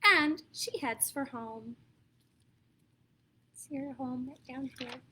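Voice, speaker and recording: A woman with an American accent reads a storybook to young children in a high, bright voice. She takes her time and leans into key words with dramatic emphasis, adding little asides to her listeners. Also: takes it slowly, slight background noise. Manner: expressive, dramatic emphasis, asks listeners questions. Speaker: storyteller reading picture books to children.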